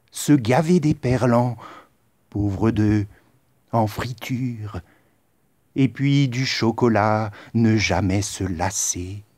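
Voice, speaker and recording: A man reciting poetry in a solemn, deliberate style, with measured phrasing and dramatic pauses. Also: medium pitch, mellow, dry recording. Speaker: poet reciting.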